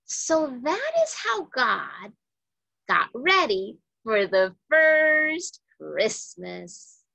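A woman reads a Bible story aloud for children in a bright, slightly husky voice. Her phrasing is deliberate and emphatic, and the sound is close and dry. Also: on the high side, very animated, no room sound, poor audio.

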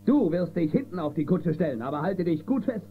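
A good-humoured marshal giving orders in a children's western audio play. His voice is fairly high and very animated. The sound is phone-like. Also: fairly quick. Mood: commanding. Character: good-humoured, commanding male space-western marshal hero. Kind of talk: German children's audio drama acting. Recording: narrow phone-like band, music behind.

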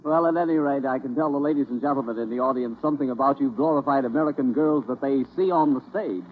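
A man's voice: mid-range voice, quick pace, slight background noise. A man announcing on 1930s radio with theatrical advertising patter and a salesman's delivery. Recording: tinny 1930s radio broadcast recording.

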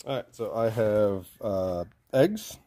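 A man's voice: fairly low pitch, lively intonation, takes it slowly, mellow. A man in his forties chatting casually on a home vlog, joking in a dry, laid-back way, filmed by a handheld phone outdoors and in a kitchen. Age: 40s. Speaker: vlog co-host. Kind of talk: casual chat.

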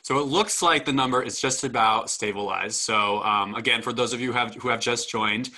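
Young man, forceful bright voice, informal host, panel welcome, video call. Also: mid-range voice, fairly quick, poor audio. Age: young adult. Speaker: admissions dean hosting a student panel. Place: indoor room, video call. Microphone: video call microphone.

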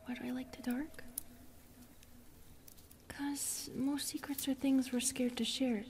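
ASMR roleplay: woman to play lonely, gentle forest spirit, young female. Soft hesitant whisper, unhurried, dark-toned and tender. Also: on the high side, a little hiss or hum. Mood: self-doubting, sad.

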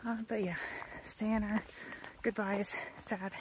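A woman's voice: mid-range voice, flat delivery, takes it slowly, background noise. A young woman, chatting casually into a phone held at arm's length while thru-hiking on a wooded trail, sometimes puffing from the climb. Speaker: thru-hiker vlogger. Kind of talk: casual vlog. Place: outdoors on a wooded mountain trail.

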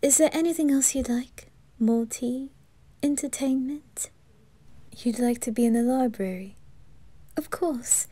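A woman voicing a gentle, deferential demon maid, soft and breathy, in an ASMR roleplay. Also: high-pitched, measured pace, long pauses. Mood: gentle, attentive.

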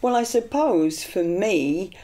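Older woman, Scottish-born, telling her story in an interview; earnest, animated, loose and rambling. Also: mid-range voice, slow. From Scotland. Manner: earnest, animated with hand gestures; rambling with fillers and restarts. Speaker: improvising vocalist. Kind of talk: reflective interview. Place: small indoor studio room.

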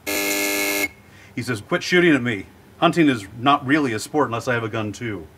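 A man hosting an interview in a sound booth, running a jokey quiz game with playful deadpan punchlines. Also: mid-range voice, moderate pace. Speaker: host.

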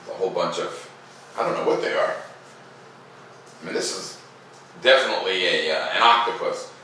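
A middle-aged man hosting a children's read-aloud, mixing expressive picture-book reading with informal, casual asides. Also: deep voice, moderate pace. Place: living room by a fireplace, indoors. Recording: echoey room, distant mic, faint noise.

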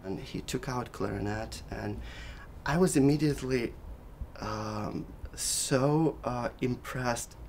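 A middle-aged man whose first language is Russian, a clarinet professor speaking thoughtfully and measuredly in an interview, with pauses and restarts. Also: mid-range voice, vocal fry, slight background noise. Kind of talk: reflective interview. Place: living room with daylight window.

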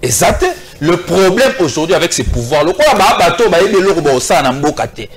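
Un homme d'une quarantaine d'années, débattant avec véhémence et un ton critique sur un plateau de télévision. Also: male voice, a little high, big pitch swings, brisk, crowd noise. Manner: emphatic, critical, gesturing. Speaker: political commentator. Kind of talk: TV debate. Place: TV studio set.

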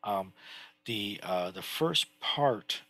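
A man in his sixties teaching a lesson from his home study over a headset, explaining slowly with many fillers and restarts. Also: fairly low pitch, lively intonation, a bit bright. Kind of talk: screen-share lesson on Chinese characters.

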